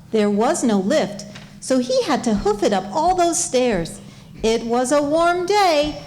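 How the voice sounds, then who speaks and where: A woman's voice: on the high side, a little mellow, a little hiss or hum. A woman narrating a comic short story from a script, as a storyteller.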